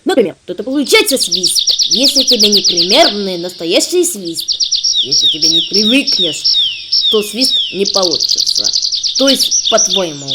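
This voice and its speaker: An earnest children's cartoon know-it-all with very lively intonation. The explanations are long-winded and halting, full of careful qualifications. Strong background noise of birdsong and clicking runs underneath. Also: female voice, mid-range voice. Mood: earnest, explaining.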